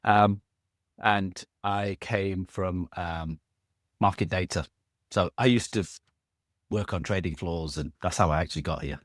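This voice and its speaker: Middle-aged man, low-pitched, loose and jokey guest, dry recording. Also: a little slow, dry recording. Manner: rambling, self-correcting, jokey asides. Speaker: podcast guest. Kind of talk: casual conversational interview.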